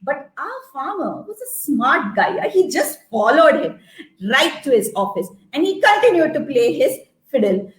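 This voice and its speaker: Female storyteller, high voice, slow theatrical delivery with repeated phrases, roomy live-stream sound. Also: very animated. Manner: theatrical, repeats phrases for effect, checks in with listeners. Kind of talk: live interactive folktale storytelling with songs and actions.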